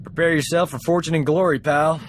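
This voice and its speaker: A man's voice: on the high side, fairly even intonation, fairly quick, a little hiss or hum, natural voice. A man playing a cocky, boastful space medic. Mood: breezy, boastful. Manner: boastful, breezy, self-important. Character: cocky, smooth-talking male medic, boastful ladies' man. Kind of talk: video game cutscene acting.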